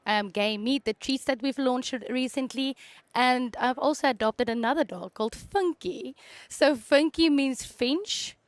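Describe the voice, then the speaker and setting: A chatty woman from South Africa, Afrikaans her first language, with a fairly high voice. She talks as a guest on a podcast, telling easygoing stories about her work and pets. The sound is close and dry, with no room around it. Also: no echo. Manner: chatty storyteller, laughs between stories. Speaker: guest, animal scientist. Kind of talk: casual chat.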